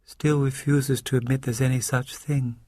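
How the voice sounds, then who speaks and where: A man's voice: medium pitch, level tone, normal speed, a little mellow, no echo, lightly acted. A man voicing a sour, exasperated upper-class woman in an audiobook, with a thick delivery.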